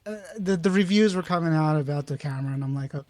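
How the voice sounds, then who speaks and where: A middle-aged man co-hosting a casual tech chat on a video call, halting and self-deprecating, often trailing off, from a home room. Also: fairly high pitch, a little mellow. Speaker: podcast co-host.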